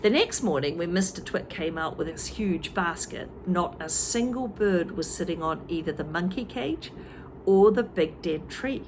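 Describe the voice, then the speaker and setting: A teacher reading a children's book aloud, with chatty asides to young listeners. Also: female voice, fairly low pitch, expressive, normal speed, slight background noise.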